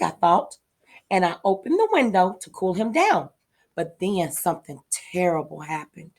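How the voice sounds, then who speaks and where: A woman voicing an earnest, affectionate little girl in a children's storybook, with expressive, wide-eyed delivery. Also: mid-range voice. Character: earnest, affectionate little girl telling of her new pet. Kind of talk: children's storybook read-aloud.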